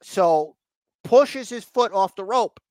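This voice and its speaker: Animated man chatting, closely recorded. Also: high-pitched, takes it slowly, no room sound, studio quality. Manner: mock exasperation, repeats phrases for emphasis. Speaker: podcast host recapping wrestling. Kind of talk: casual chat, rambling recap.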